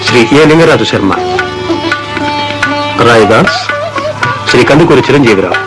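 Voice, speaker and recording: Male announcer for vintage radio drama credits: formal, unhurried, mid-pitched, loud classical music behind. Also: animated, rough recording. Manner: measured, deliberate name-by-name delivery. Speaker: announcer reading the cast list of a radio play. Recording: old radio recording under loud music. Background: loud Indian classical music with sitar and tabla.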